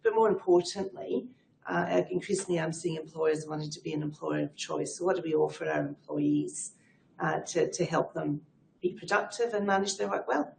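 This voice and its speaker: A woman's voice: deep voice, moderate pace, gravelly, a little mellow, a little hiss or hum. A middle-aged Scottish woman who talks in a chatty, fluent and self-deprecating way as an interview guest, sounding like she is in an echoey room. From Scotland. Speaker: guest, head of people advisory. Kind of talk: conversational interview answers.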